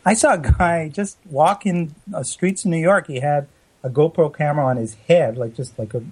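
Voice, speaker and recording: A man's voice: fairly high pitch, moderate pace, darkish tone, husky, no echo, poor audio. A man from Canada with a Canadian accent, a photographer chatting earnestly with many restarts. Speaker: photographer, co-host. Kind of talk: casual chat.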